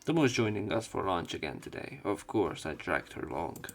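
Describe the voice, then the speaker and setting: A man's voice: sits low, big pitch swings, brisk, gravelly, faint music behind. A man reading a visual novel aloud as a let's play commentator, with casual, offhand remarks.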